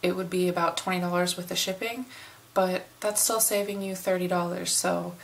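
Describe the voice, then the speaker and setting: A middle-aged American woman talks through a makeup comparison in a relaxed, chatty way, full of little fillers. Her voice is on the low side and gravelly, roughened further by a cold that leaves her hoarse and sniffly. A faint noise sits under the recording. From United States. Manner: unhurried, chatty, many fillers. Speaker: beauty vlogger. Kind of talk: casual product review.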